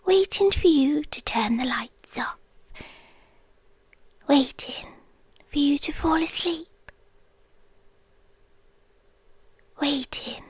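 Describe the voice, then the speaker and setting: A British woman playing an obsessive, creepily devoted yandere girlfriend in an intimate ASMR roleplay, breathy and often whispering, lingering on short phrases. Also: high-pitched, no echo. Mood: hushed, obsessive. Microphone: close microphone, dry intimate recording.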